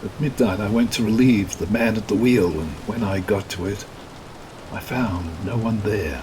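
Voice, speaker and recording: A man's voice: fairly low pitch, normal speed, background noise, lightly acted. An actor playing a weary, fearful, devout ship's captain giving his log in a horror radio play. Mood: grave. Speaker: actor in horror audio drama.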